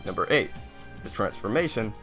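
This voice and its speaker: A man's voice: fairly low pitch, very animated, normal speed, fairly bright tone, faint music behind. A man reading a scripted countdown narration as the host of a video.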